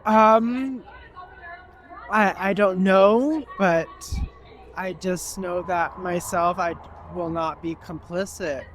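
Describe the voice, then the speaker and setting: Woman, slow and hesitant, faint street noise behind. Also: on the low side, steady tone, a faint crowd, poor audio. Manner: hesitant, soft-spoken, many ums. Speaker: rally attendee. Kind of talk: street interview. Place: outdoor street rally.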